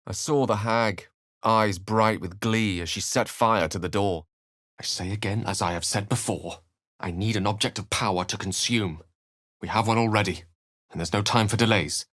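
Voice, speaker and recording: Low-voiced eloquent wizard. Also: male voice, moderate pace, a bit bright, no echo, studio quality. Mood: grave, narrating. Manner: articulate, wordy, literary phrasing. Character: eloquent, theatrical male human wizard companion, erudite and wry. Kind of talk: fantasy video game voice acting.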